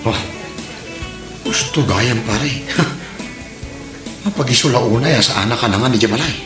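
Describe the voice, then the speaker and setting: A man's voice: mid-range voice, unhurried, lightly acted. A man playing a cocky, stingy jeepney driver in an Ilocano comedy audio drama, exclaiming in an exaggerated way with an Ilocano accent. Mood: cheerful. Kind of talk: comic audio drama acting. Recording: roomy echo, music behind.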